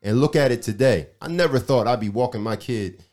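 A man with a deep voice talking casually on a podcast, earnest and emphatic, repeating his points. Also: a little fast, darkish tone. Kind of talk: casual chat.

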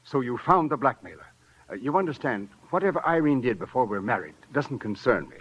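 Well-to-do fiancé: self-assured, formal, expressive pitch swings, dull vintage sound. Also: male voice, brisk, husky. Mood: haughty, assured. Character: smooth-talking, haughty male fiancé, a well-to-do gentleman. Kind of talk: 1940s-50s radio crime drama acting. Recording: muffled vintage radio recording.

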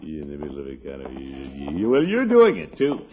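A man's voice: extremely low pitch, huge pitch swings, moderate pace, dark tone, faint music behind, full character voice. An American man playing a blustery, chuckling middle-aged uncle with muttered asides in a 1950s radio sitcom. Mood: indulgent, pleased. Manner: hesitant, muttering asides, exclaiming. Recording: muffled, slightly noisy old radio recording.

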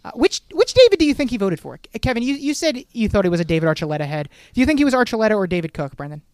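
A podcast co-host rattles through an episode recap at a brisk clip, swearing freely and slipping into the characters' lines. The sound is dry and close, with no room around the voice. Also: medium pitch, smooth, no room sound. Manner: fast, animated, swears, acts out quotes. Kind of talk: casual TV recap chat.

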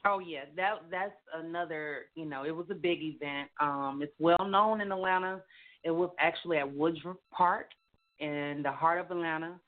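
A woman guest on a radio show talks about her music in an upbeat, chatty way, often repeating herself and filling gaps with "you know." Her voice sits fairly low for a woman. It comes through a thin phone line. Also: normal speed. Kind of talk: casual radio interview.

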